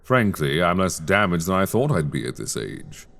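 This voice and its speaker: A man playing a middle-aged, menacing headmaster of a magic school in a dark fantasy audio roleplay, haughty, mocking and theatrically polite. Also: deep voice, sing-song, moderate pace, vocal fry, heavily acted. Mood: amused, probing. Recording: echoey hall with long reverb.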